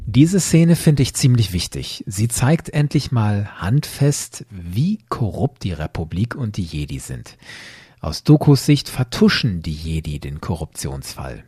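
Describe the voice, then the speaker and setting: A man's voice: on the low side, big pitch swings, a little slow, mellow, husky, no echo. A man hosting a podcast, giving scripted, explanatory narration and commentary with rhetorical questions. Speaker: podcast host.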